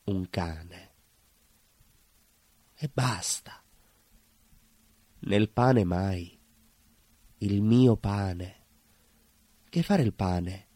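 An actor playing a bitter, vengeful baker in an intimate theatrical monologue, brooding and dramatic. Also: male voice, sits low, animated, warm tone, dry recording, exaggerated voice. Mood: resigned, bitter. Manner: brooding, dramatic pauses and repetitions. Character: bitter southern Italian baker confessing to vengeful murder.

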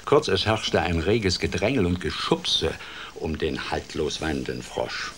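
A man's voice: deep voice, very animated, measured pace, fairly bright tone, a little hiss or hum. A man narrating a children's story as a dramatic storyteller.

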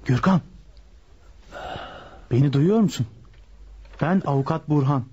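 An actor playing a firm, insistent gang lawyer in a radio drama, speaking articulately. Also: male voice, on the high side, a little slow, dark tone, husky. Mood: quiet, probing. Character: assertive male lawyer working for a gang boss. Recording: dull lo-fi radio recording.

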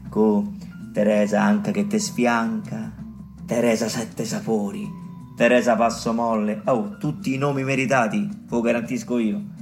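A man acts out an earthy, talkative village caretaker in a radio play, with a thick Roman accent. He digresses and insists, speaking straight to his listeners at a middling pitch, over clearly audible music. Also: lightly acted. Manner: colloquial, digressive, emphatic, addressing listeners directly. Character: earthy garrulous male health-office caretaker, smallpox survivor and storyteller. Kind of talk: theatrical dialect monologue for a radio play.

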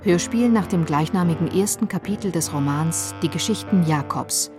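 A woman's voice: fairly low pitch, normal speed, lightly acted. A woman announcing radio play titles in a sober, formal manner. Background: plucked guitar music.

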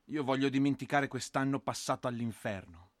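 An actor playing a war-weary peasant soldier longing for home, declaiming insistently in a radio drama. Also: male voice, mid-range voice, fairly quick, dry recording, studio quality, natural voice. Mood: anguished.

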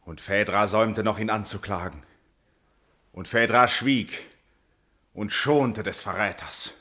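A man playing a proud, wrathful ancient Greek king and betrayed father in a classical verse radio tragedy, declaiming gravely and solemnly. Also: on the low side, a little slow, bright, forceful tone. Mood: horrified outrage.

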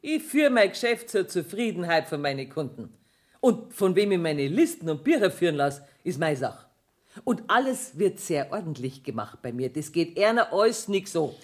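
A woman's voice: lowish voice, big pitch swings, normal speed. An actress playing a shrewd, domineering female banker in a radio play, speaking blunt, earthy Bavarian dialect. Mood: defiant.

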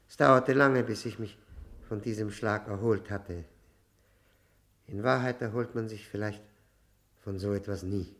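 An educated, bitter male prisoner of a 1960 radio drama. His voice sits fairly low, and he reflects haltingly on himself with theatrical diction and wounded irony. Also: fairly bright tone. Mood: wistful, brooding. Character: bitter, brooding, educated male convict looking back on youth. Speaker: actor in a radio play.

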